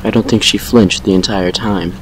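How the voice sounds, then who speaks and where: A man playing a brooding vampire who recounts a painful past with measured regret in an audio drama. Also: on the low side, steady tone, a little hiss or hum, lightly acted, poor audio. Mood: subdued, regretful. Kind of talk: fan fiction audio drama monologue.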